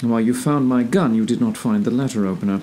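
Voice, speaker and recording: A man acts an unruffled gentleman detective in a radio-style drama. He is composed and precise at a medium pitch, with faint noise behind. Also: a little fast, lightly acted. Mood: calm challenge. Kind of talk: audio drama acting.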